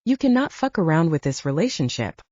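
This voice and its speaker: Lady doing a bossy fed-up male alpha werewolf, patronizing big brother, husky, animated, audiobook, muffled sound. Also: low-pitched, a little fast, dry recording, heavily acted. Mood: stern, weary. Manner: stern, patronizing.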